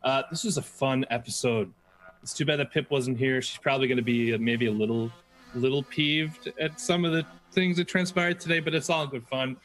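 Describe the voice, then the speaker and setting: A man player giving a relaxed, friendly shout-out at the end of a stream. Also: medium pitch, normal speed, soft background music, poor audio.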